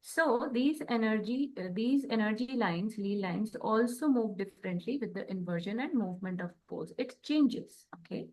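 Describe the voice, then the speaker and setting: A woman with an Indian accent giving an explanatory spiritual talk in a deliberate, halting manner, often checking in with short questions. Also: medium pitch, lively intonation, a little mellow, rough recording. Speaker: co-host.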